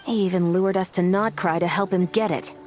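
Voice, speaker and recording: A woman's voice: lowish voice, steady tone, fairly quick, a little mellow, lightly acted. An actress playing a determined, businesslike female crime hunter in a video game, with a firm, purposeful delivery. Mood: resolute. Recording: music behind.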